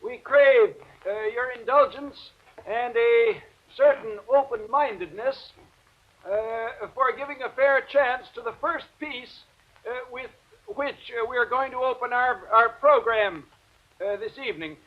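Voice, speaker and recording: Very high-pitched, stammering fussy gentleman. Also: a little slow. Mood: nervous, apologetic. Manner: stammering, hesitant, wordy, genteel. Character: fussy, apologetic, fluttery concert announcer. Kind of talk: 1940s-50s radio drama acting, formal stage introduction. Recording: dull vintage radio recording.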